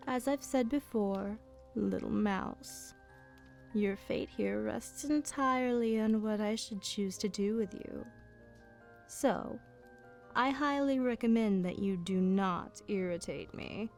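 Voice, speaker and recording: Female vampire aristocrat a woman acting, unhurried, dark velvety tone, formal, possessive, coolly menacing, ASMR roleplay. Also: mid-range voice. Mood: stern, possessive. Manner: slow, formal, deliberate, softly threatening. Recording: music behind the voice. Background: music.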